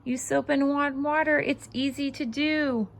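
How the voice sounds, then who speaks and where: A woman reading a children's picture book aloud as host, expressive and sing-song, stressing the rhymes and adding casual asides. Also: high voice, unhurried, creaky.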